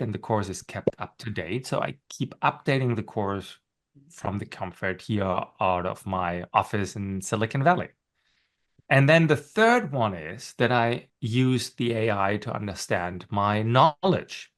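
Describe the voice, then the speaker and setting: A middle-aged man with a German accent, speaking as a panelist and course instructor in a relaxed webinar discussion from his office. He explains his points at length, often checks in with a quick "right?" and laughs at himself now and then. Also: fairly low pitch. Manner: explanatory, with frequent "right?" tags and self-deprecating laughs. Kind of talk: conversational webinar talk. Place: home office.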